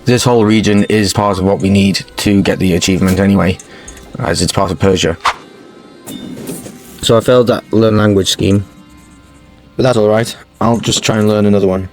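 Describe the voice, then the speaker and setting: A man talks through a strategy game campaign in a low, level voice. His tone stays steady and matter-of-fact as he explains each choice, with soft music playing under him. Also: normal speed, long pauses. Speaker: gaming channel host. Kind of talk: strategy game playthrough commentary. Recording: faint music bed under the voice.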